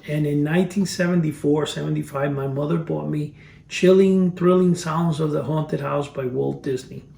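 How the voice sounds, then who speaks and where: A man's voice: fairly high pitch, fairly even intonation, normal speed, gravelly, mellow, reverberant, far from the mic. A Cuban-born man in his fifties chatting casually as he reviews old collectibles, rambling and restarting his sentences. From Cuba. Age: 50s. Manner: rambling, restarts phrases, chuckles at his memories. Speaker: collector reviewing vintage items. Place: cluttered storage room.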